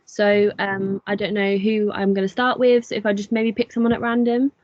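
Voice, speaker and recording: A woman's voice: mid-range voice, level tone, moderate pace, a little mellow, no room sound, muffled recording. A young woman facilitating a panel discussion, polite and a little hesitant, with plenty of fillers. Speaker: student facilitator. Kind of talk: moderating a panel.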